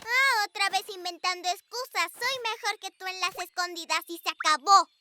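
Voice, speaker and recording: Squeaky little cartoon bunny kid, cocky, competitive, latin american spanish, music under it. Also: normal speed, bright, forceful tone, heavily acted. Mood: cocky, teasing. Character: cocky, competitive small boy rabbit, younger brother. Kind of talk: cartoon dub acting. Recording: music behind the voice. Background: children's cartoon music.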